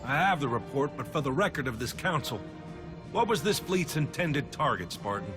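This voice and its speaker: An actor playing a stern military council official in a sci-fi game cutscene, formal and demanding. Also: male voice, medium pitch, very animated, normal speed, over music, close to an everyday voice. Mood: stern questioning.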